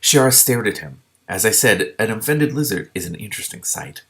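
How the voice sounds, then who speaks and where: Low-voiced man reading a story aloud. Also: animated, brisk, fairly bright tone. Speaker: host reading a novel aloud with commentary. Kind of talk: expressive storybook narration with casual asides.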